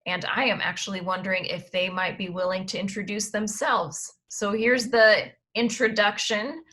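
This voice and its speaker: A woman, an admissions dean, hosting an online panel and giving clear instructions over a video call. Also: medium pitch, steady tone, normal speed, bright, forceful tone. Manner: organized, gives instructions, occasional fillers.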